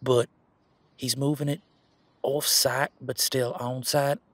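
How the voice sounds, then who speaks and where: A man playing a laid-back, responsible werewolf security guard in a casual roleplay audio, talking in a colloquial, halting, matter-of-fact way with a husky voice. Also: medium pitch, little pitch movement, a bit bright, no echo. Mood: frank, uncertain.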